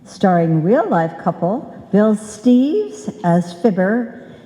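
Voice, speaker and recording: A woman's voice: medium pitch, very animated, slow, dark tone. A woman host giving a scripted introduction at a live show. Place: stage in a hall, live performance. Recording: echoey hall reverb.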